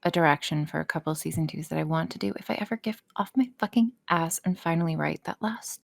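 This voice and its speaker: Woman, lowish pitch, casual podcast chat, self-deprecating, free with swearing. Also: steady tone, quick pace, creaky. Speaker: podcast guest, audio creator.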